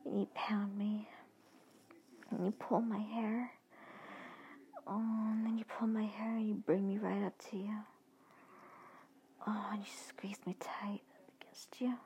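A woman narrating an erotic story in an intimate, breathy whisper, speaking to the listener as "you". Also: medium pitch, little pitch movement, a little slow, pauses often, warm tone, a little hiss or hum.

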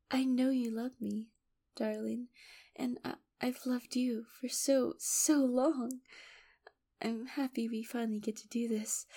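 Give the part of an infatuated, possessive kidnapper woman, acted by a woman in an intimate audio drama. Her voice is a little high yet mellow and soft, often slipping into breathy whispers. She coos and coaxes with condescending sweetness and quiet menace. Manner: coaxing, cooing, condescendingly affectionate. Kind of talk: intimate first-person audio roleplay.

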